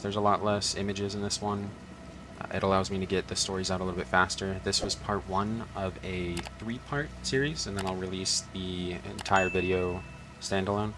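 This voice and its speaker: Low-voiced casual man over clear background noise. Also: fairly even intonation, moderate pace. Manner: informal, laid-back. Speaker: channel host. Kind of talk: casual spoken outro. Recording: clearly noisy background ambience.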